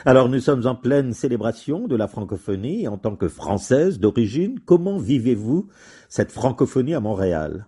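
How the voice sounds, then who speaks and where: Male radio host, animated delivery, mellow husky tone, chatty interviewer. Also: mid-range voice, normal speed.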